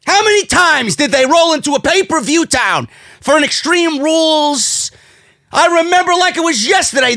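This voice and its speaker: Loud high-pitched New York man, wildly animated. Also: no room sound, studio quality. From United States. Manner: brash, profane, indignant, emphatic, repeats phrases for effect. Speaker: wrestling commentary host. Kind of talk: opinionated rant commentary.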